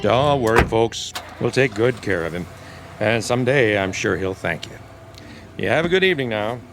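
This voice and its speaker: An actor in a radio drama playing a folksy, soothing deputy sheriff with a hesitant, placating way of talking. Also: male voice, lowish voice, lively intonation, normal speed, music in the background. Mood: smugly soothing.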